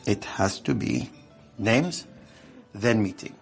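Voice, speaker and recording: A suave Corsican gangster in a radio drama, low and gravelly. His French accent is worldly and genial, and he bargains slyly. Faint music sits behind him. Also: lively intonation, slow pace, full character voice. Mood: firm, bargaining.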